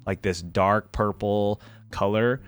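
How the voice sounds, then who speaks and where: A man's voice: on the low side, steady tone, slow pace, clean tone, dry recording. A man giving casual, off-the-cuff reaction commentary as a video creator, thinking aloud in a conversational way.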